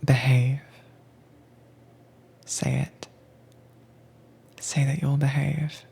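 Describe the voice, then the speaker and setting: A woman playing a calm, teasingly dominant werewolf tamer in a whispered, soft-spoken roleplay audio, with a breathy voice and a coaxing, commanding manner. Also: rumbling low, very slow, a little hiss or hum, heavily acted. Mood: gently commanding.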